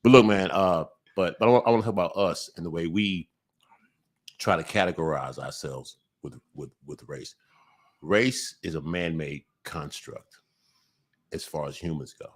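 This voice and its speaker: A man with a very low voice, talking casually on a podcast. He stops and restarts often, fills gaps with "uh" and "like", then pushes hard on the points he cares about. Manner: halting, many restarts and fillers, emphatic bursts. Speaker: podcast host. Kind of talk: casual conversation.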